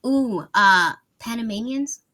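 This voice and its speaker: A woman's voice: a little high, lively intonation, slow, pushed and bright. Chatty woman tutor on a video call. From Miami, USA. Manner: chatty, laughs often, lots of fillers. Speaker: English tutor. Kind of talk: casual chat on a video call.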